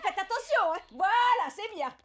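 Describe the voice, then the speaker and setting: A woman's voice: very high voice, very animated, moderate pace, very noisy. A woman playing a strict, exasperated primary school teacher in a children's cartoon. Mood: instructing.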